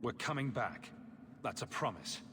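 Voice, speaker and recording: An actor playing a confident, wry champion who leads companions in a fantasy video game. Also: male voice, medium pitch, animated, moderate pace, long reverb tail, noisy background, lightly acted. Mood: wry, resolute. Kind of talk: video game cutscene acting.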